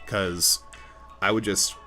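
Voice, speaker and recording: Man, very deep voice, casual stream host, rambling, excitable fan chatter. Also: takes it slowly, faint music behind. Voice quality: low voice. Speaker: livestream host. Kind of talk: casual livestream chat.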